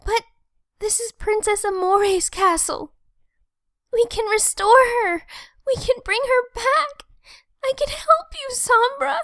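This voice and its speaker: Woman as an anxious, very high-voiced young pony girl. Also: fairly even intonation, slow pace, fairly bright tone, dry recording, exaggerated voice. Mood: frightened pleading. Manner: pleading, earnest, girlish. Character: anxious, kind-hearted young female pony healer. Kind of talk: cartoon comic dub acting.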